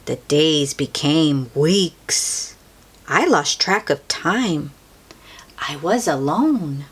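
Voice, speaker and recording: Slow, very animated woman reading a storybook. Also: low-pitched, breathy edge. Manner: theatrical, deliberate phrasing with drawn-out emphasis. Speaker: read-aloud storyteller. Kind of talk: expressive children's picture-book read-aloud.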